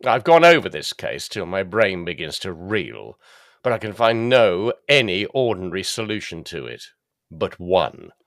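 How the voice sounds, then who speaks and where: An actor playing a dogged, plain-spoken police detective in a gothic audio drama, formal and deferential. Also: male voice, deep voice, very animated, a little slow, vocal fry, a bit bright, no echo, studio quality, exaggerated voice. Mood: earnest, suspicious.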